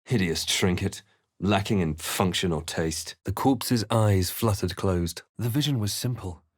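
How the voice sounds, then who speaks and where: An actor playing a grave, measured fantasy adventurer in a video game, narrating with solemn, deliberate theatricality. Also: male voice, sits low, no echo, studio quality. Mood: wry, curt. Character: measured, grave male fantasy adventurer recalling his journey. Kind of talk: scripted game narration and character lines.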